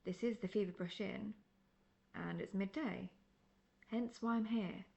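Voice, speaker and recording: A woman playing a seasoned, world-weary female monster hunter in a fantasy audio roleplay, speaking in a measured, formal, dryly condescending way. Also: medium pitch, steady tone, creaky, dark tone, dull audio. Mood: dry, wry.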